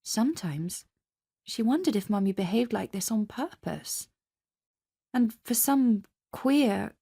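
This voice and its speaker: A woman's voice: medium pitch, creaky, dark tone, husky. A woman with a British accent, narrating a literary story for radio in a dramatic style. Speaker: story reader.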